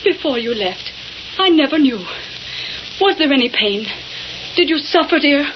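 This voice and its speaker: A woman's voice: high-pitched, lively intonation, moderate pace. A woman playing a grieving, pleading séance client in 1930s radio drama style. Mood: tearful, pleading. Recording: muffled noisy old radio recording.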